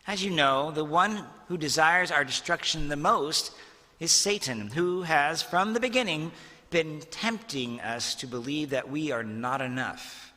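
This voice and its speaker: Bright-voiced priest preaching in a reverberant church. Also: male voice, a little high. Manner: earnest, measured preaching with rhetorical questions. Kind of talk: sermon.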